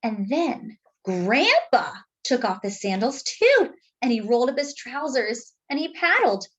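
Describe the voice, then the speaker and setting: High, very animated woman reading a storybook. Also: measured pace, slightly rough, lo-fi sound. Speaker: children's story reader. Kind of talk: expressive picture book read-aloud.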